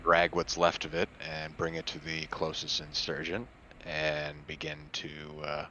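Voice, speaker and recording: A man playing his character in a tabletop game, eager and quick to laugh. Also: a bass voice, monotone, pushed and bright, slight background noise. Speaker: player. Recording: dull lo-fi mic.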